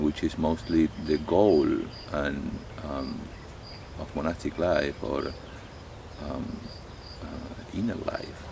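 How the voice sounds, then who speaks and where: A middle-aged monk with an Argentine Spanish accent speaks in an extremely deep voice with a gravelly rasp. He talks reflectively and haltingly, often stopping to find the next word. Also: slight background noise. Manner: halting and thoughtful, pausing often to search for words. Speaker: monk, abbot of a monastery. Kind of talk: reflective spoken talk to camera.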